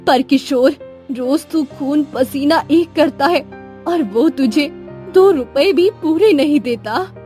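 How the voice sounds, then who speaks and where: A woman playing a worried, tender poor village wife and mother in a moral storybook tale, plaintive and gently reproachful. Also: high-pitched, takes it slowly. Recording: music behind the voice.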